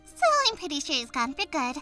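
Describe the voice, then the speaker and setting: Childlike cartoon sidekick: high, swooping, blunt and eager, music underneath. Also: female voice, animated, brisk, bright, forceful tone. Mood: matter-of-fact.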